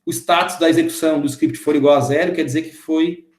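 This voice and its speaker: Man in his thirties, a programming teacher with a slightly high voice, explains step by step, echoey room sound. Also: steady tone, fast. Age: 30s. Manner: explains step by step, often checking in with the class. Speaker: programming instructor. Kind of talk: screen-recorded coding lesson.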